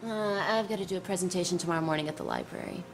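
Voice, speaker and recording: An actress playing a sensible young woman, dry and gently exasperated, in naturalistic audio drama dialogue. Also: on the low side, huge pitch swings, racing, gravelly, soft background music, exaggerated voice, rough recording. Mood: dry, amused.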